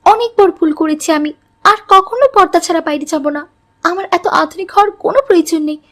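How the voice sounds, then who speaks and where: A woman's voice: extremely high pitch, quick pace, a bit bright. A woman voicing a headstrong young college girl in a moral cartoon story. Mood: upset, remorseful. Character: headstrong college girl Fatema, later remorseful.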